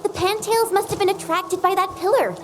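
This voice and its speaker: A woman playing a spirited, frank young female guide in a video game, with an animated, exclamatory manner. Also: very high-pitched, brisk, background noise. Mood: excited. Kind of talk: scripted video game acting. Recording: game music behind the voice.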